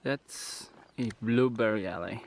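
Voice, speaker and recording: A man's voice: fairly low pitch, unhurried, gravelly. A young man with a Polish accent narrating a casual vlog from behind a handheld camera, with dry, playful remarks. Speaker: vlogger filming a hiking trip. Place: outdoors on trails and inside wooden huts. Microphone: handheld camera, speaker behind it. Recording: noisy handheld camera with ambient sound.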